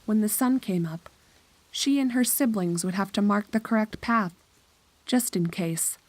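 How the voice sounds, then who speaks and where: A woman's voice: medium pitch, measured pace, a little mellow, no echo, poor audio. A woman narrator reading a scripted horror story.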